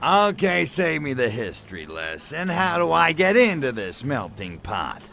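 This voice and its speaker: A man playing a cocky, lecherous little alien invader in a video game, with a gravelly voice and brash, slangy wisecracks. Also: on the high side, sing-song, unhurried, pushed and bright, soft background music, heavily acted. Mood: impatient. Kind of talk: video game cutscene voice acting.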